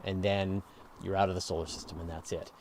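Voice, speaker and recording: A man's voice: deep voice, flat delivery, fairly quick, a little mellow, a little hiss or hum. A man hosting a casual live question-and-answer show, explaining step by step in a conversational way and stressing key words. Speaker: host of a live space and astronomy Q&A show.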